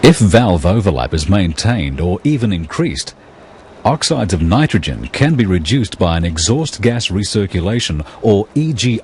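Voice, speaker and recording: Very deep-voiced male educational narrator. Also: darkish tone, a little hiss or hum. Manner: measured, explanatory, impersonal. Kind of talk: scripted technical narration.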